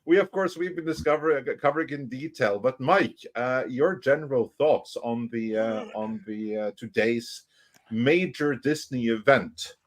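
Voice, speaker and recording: A man hosting a casual live stream panel, speaking in a halting, wry way. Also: mid-range voice. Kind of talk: casual chat.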